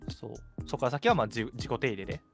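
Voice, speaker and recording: A man's voice: mid-range voice, big pitch swings, racing. A man in his early twenties co-hosting a radio show, explaining things in casual, conversational chat. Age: early 20s. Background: background music.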